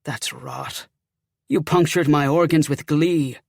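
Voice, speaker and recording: A man plays a dead young officer's ghost in a period audiobook. He taunts with a sardonic Celtic lilt, casual and gravelly, very close and dry. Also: fairly high pitch, takes it slowly, darkish tone, no room sound, heavily acted. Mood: mocking, accusing. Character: mocking ghost of a slain young Union officer. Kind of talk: audiobook character acting.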